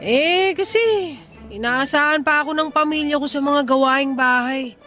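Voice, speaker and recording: A woman's voice: high-pitched, moderate pace, bright, forceful tone, faint music behind. A woman playing a blunt, joking tomboyish young mechanic in a radio drama. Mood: bantering.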